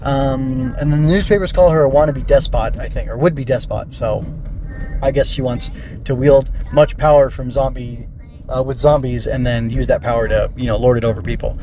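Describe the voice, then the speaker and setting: A man's voice: mid-range voice, fairly even intonation, normal speed, warm tone, slight background noise. A man hosting a casual solo review podcast, rambling and thinking aloud as he works through his notes. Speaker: podcast host reviewing an audio drama.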